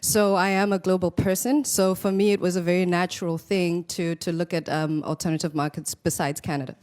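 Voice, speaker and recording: A woman from Zimbabwe on a conference panel, explaining her business in a measured way. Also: fairly low pitch, fairly even intonation, darkish tone. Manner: measured, explanatory, some fillers. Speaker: panelist, company founder. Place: conference stage.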